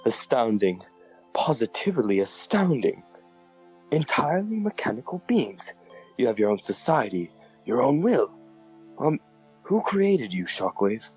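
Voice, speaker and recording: Man playing an eager, hesitant gentleman scientist. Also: mid-range voice, sing-song, unhurried, long pauses, husky, low-quality recording. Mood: amazed. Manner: hesitant, polite, formal. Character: curious, eager human scientist and engineer. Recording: voice under background music.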